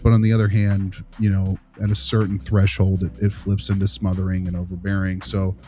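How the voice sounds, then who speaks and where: Low-voiced man in casual, emphatic discussion. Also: fairly quick, faint music behind. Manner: animated, emphatic, rhetorical check-ins like "right?". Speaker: panelist discussing a behavioral case study.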